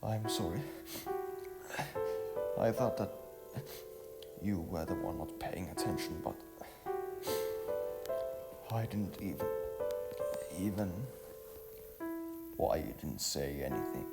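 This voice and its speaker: A man playing a young well-off village daughter in a storybook, defensive and remorseful, speaking haltingly. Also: low-pitched, lively intonation, gravelly, over loud music, full character voice. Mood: apologetic, worried.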